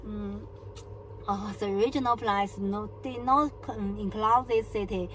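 Soft-spoken young Chinese woman vlogging. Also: mid-range voice, fairly even intonation, far from the mic, faint music behind. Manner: soft-spoken, halting with many fillers. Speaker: travel vlogger. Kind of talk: casual travel vlog narration. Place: inside a car.

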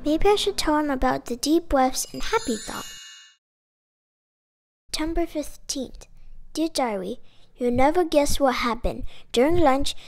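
A woman reading a children's picture book aloud in an expressive, emphatic storytelling manner. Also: high-pitched. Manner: expressive, emphatic delivery with dramatic exclamations. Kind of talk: animated children's read-aloud.

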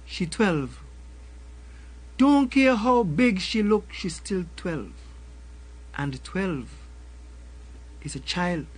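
An older man with a Caribbean accent recites a spoken word poem in a slow, rhythmic way, returning again and again to a refrain, at a desk mic in a small room. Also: sits high, expressive, slight background noise, rough recording. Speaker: poet. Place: small room with a colorful backdrop.

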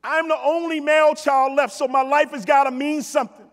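A man's voice: very high voice, steady tone, fairly quick. A middle-aged American man giving a motivational keynote, telling stories emphatically, repeating phrases and addressing his listeners directly. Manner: emphatic, with repetition for effect and direct address to the audience. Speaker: keynote speaker. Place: on stage in a large convention hall. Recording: reverberant large hall PA sound.

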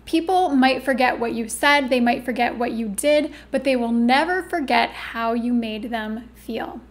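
A woman in her thirties with a high voice speaks straight to the listener as a coach. She sounds upbeat and encouraging, stressing key words as she explains her advice step by step. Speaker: marketing coach, presenter. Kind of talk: upbeat instructional video to camera. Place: small indoor room.

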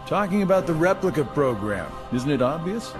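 An actor playing a smug, mocking middle-aged villain in a video game, with a taunting, sardonic drawl. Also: male voice, fairly high pitch, big pitch swings, brisk, over music. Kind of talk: English video game cutscene voice acting.

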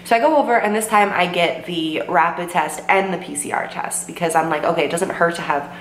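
A woman's voice: on the low side, a little fast. A sick young woman in her twenties, voice worn and her throat sore, telling a rambling story with lots of "like" and "um" to a camera in her bedroom. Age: 20s. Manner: chatty, lots of "like" and "um", quotes herself. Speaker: vlogger. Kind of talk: casual storytime vlog. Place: bedroom with bookshelves, indoors. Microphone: camera in front at desk distance. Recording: roomy with faint hum.

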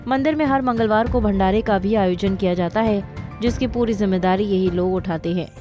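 A woman's voice: medium pitch, brisk. A woman reading a scripted news report as the narrator. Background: music.

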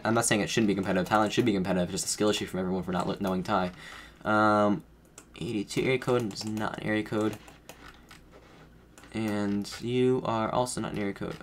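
A deep-voiced man talks through his game at a desk mic, thinking aloud and stumbling over restarts as he reasons. He sounds a little under the weather, and faint keyboard typing and a low room hiss sit behind him. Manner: rambling, self-correcting, thinking aloud while guessing. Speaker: gaming streamer. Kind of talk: casual play-by-play commentary.

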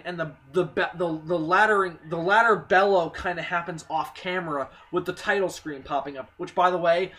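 A hoarse young man with a slight cold, talking casually and excitedly about a movie trailer, with lots of ums and restarts. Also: high voice. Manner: excitable, rambling, swearing, many ums and restarts. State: hoarse, a little sick. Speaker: movie news vlogger. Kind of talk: casual spoken movie commentary.

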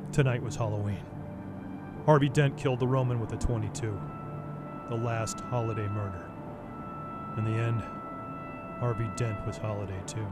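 An actor playing a brooding, weary masked vigilante detective in a radio noir drama, grave and measured. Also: male voice, mid-range voice, natural voice. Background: prominent music.

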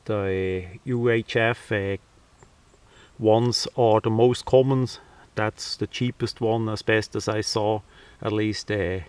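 Man explaining slowly in halting short phrases. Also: mid-range voice, no room sound. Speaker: hobbyist presenter. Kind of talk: unscripted how-to demonstration. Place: outdoors, over a ground tarp.

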